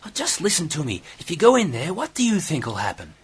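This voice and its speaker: Husky woman acting a cautious, secretive investigator. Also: low voice, wildly swooping pitch, brisk, exaggerated voice. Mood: urgent, insistent. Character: cautious, secretive female investigator spying on a cult. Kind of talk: amateur radio play acting.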